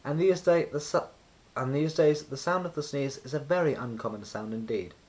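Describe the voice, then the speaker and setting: A man reading a children's storybook aloud as narrator, with expressive, deliberate storytelling and emphasis on repeated words. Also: medium pitch. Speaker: children's book reader.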